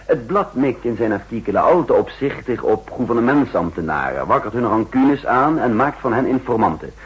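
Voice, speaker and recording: An actor playing a shrewd, insistent counter-espionage chief in a 1960s radio drama, speaking formally and emphatically with a husky voice. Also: male voice, medium pitch. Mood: insistent, persuasive. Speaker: narrator and main character. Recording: muffled old 1960s radio recording.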